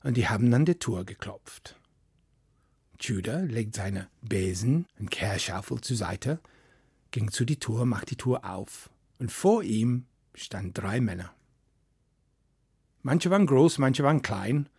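A man's voice: medium pitch, animated, takes it slowly, a little mellow, husky, no room sound, studio quality. A man telling a folk story in to a live audience, chatty and playful with asides. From Wales. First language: English. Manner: chatty, playful asides, non-native grammar.

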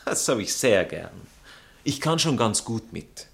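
An actor playing a prim, jealous aristocratic fiancé with an Austrian accent, drawling and indignant in a satirical stage comedy. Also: male voice, on the low side, big pitch swings, a little slow, gravelly, exaggerated voice. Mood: peeved. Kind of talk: stage comedy acting in a radio play manner.

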